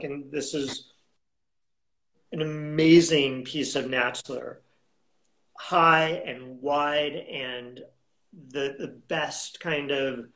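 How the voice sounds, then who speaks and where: Slow-talking man on a video call. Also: a little high, fairly bright tone, rough recording. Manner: unhurried, halting, many fillers and restarts. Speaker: auction house specialist, guest. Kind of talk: conversational interview over video call. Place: home room indoors. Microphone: video call webcam.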